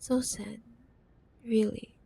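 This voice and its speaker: A woman's voice: a little high, flat delivery, slow pace, creaky, dark tone, breathy edge, full character voice. A woman playing a possessive, menacing corporate CEO ex-girlfriend in a roleplay ASMR drama, mock-sweet and teasing, sometimes dropping to a whisper. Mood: ominous, mock-pitying.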